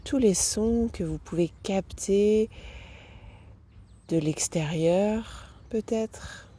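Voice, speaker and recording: Woman, yoga nidra teacher, guided meditation, breathy husky tone, dark and relaxed, unhurried, gentle, long pauses. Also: medium pitch. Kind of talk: guided meditation, soothing instruction.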